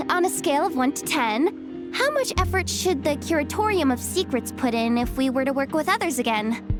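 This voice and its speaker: A woman's voice: high voice, animated, normal speed, music in the background. A woman voicing an eager young female assistant who pesters her boss in a video game. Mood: pleading. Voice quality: whiny, earnest.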